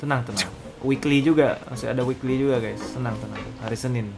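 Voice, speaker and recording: Male streamer from Indonesia, casual slangy game commentary, medium pitch, music behind. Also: rapid-fire.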